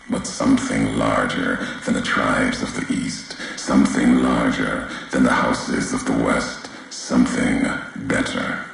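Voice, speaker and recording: A male actor playing a brooding, embittered courier and former soldier in a video game, speaking solemnly and cryptically in weighty, deliberate phrases with heavy pauses. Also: a bit bright, full character voice. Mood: somber, mournful. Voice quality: very deep, gravelly. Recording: roomy echo, faint background noise.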